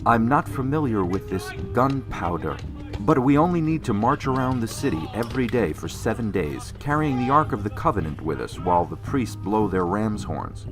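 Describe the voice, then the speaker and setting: Deep, solemn commander of an ancient army played by a man. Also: very animated, normal speed, over music. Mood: solemn, assured. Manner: formal, declarative, stately phrasing. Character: solemn, commanding Israelite war leader, a man of faith. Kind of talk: audio drama acting.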